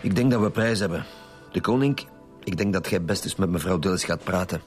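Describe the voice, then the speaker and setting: Actor as a blunt Flemish chief inspector. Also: male voice, sits low, fairly quick, music in the background, close to an everyday voice. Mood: businesslike. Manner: blunt, commanding, interrogating. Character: stern, dogged male chief inspector leading a homicide squad. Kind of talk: radio drama acting.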